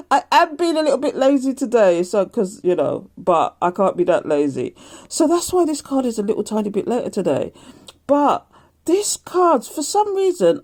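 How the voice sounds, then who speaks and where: A middle-aged woman a croaky voice giving a casual daily tarot reading, chatty and laughing often. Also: mid-range voice, a little mellow. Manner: chatty, laughs often, reads aloud from a card booklet. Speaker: tarot reader.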